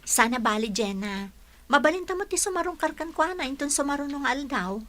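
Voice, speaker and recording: A woman acting a kindly, organising teacher in a radio drama, brisk and helpful. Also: sits high, expressive, a bit bright. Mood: kindly, reassuring.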